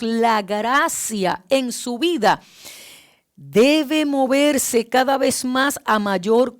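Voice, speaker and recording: A woman preaching a devotional sermon in a deliberate, emphatic way, with repeated phrasing and rhetorical questions. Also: a little high.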